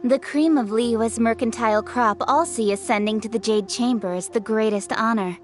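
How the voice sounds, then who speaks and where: A woman's voice: a little high, moderate pace, faint music behind, lightly acted. A woman playing a proud, forthright young female official in a video game, speaking formally and assertively. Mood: brisk, conceding. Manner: formal, assertive, crisp phrasing.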